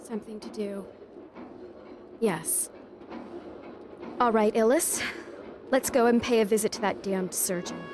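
A woman's voice: mid-range voice, a little slow, pauses often, background noise, natural voice. A woman playing an earnest, tender-hearted noblewoman with refined speech in an audio drama. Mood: resolute. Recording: music behind the voice.

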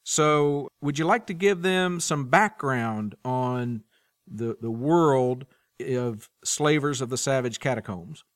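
A man interviewing a guest, putting his questions in a measured, deliberate way. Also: mid-range voice, no echo. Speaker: podcast interviewer.

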